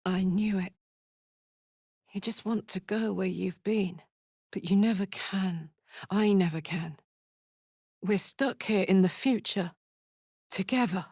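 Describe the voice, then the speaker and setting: A woman's voice: medium pitch, slow pace, pauses often, darkish tone, slightly rough, no echo. Casting: a female game warrior, brash yet big-hearted, plain-spoken and earnest, taking her time, recorded close and dry. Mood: subdued, wistful. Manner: earnest, blunt, slangy and informal. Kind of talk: video game voice acting.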